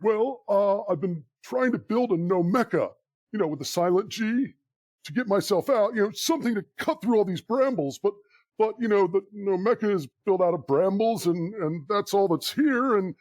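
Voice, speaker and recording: A man's voice: high-pitched, expressive, moderate pace, darkish tone, dry recording. A man playing a lost, half-starved gnome in a comedic audio drama, stammering and hesitant. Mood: forlorn, hesitant.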